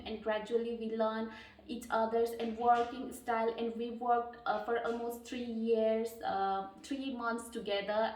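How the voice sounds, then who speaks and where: A woman from Nepal answers interview questions with earnest care, often pausing on a filler or restarting a phrase. Her voice sits a little high. She sounds set back from the mic, in a room with a noticeable echo. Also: darkish tone. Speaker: job candidate. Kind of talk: mock job interview answers. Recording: echoey room, distant mic.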